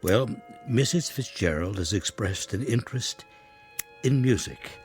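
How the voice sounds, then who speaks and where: An actor playing a meek, deferential household servant in a radio drama, speaking formally and haltingly. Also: male voice, on the low side, animated, faint music behind. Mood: cautious, apologetic.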